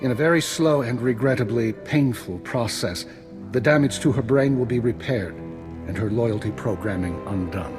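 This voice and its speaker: Actor as shrewd, unflappable retired imperial spymaster, music behind. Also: male voice, mid-range voice, lightly acted. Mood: calm, unflinching. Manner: formal, measured, dryly polite. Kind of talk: scripted video game acting.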